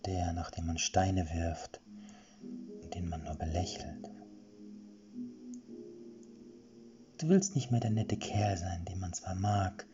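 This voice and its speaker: A man voicing a scheming witch who pleads in a high, breaking voice and then whispers seductively in a breathy, intimate way. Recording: muffled lo-fi recording with music.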